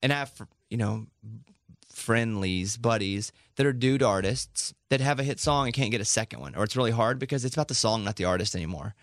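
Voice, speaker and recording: A man hosting a radio show in casual chat, rambling and cutting himself off, his voice cracking and going hoarse. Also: fairly low pitch, no echo, clean studio sound. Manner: fast, rambling, self-interrupting. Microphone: studio microphone.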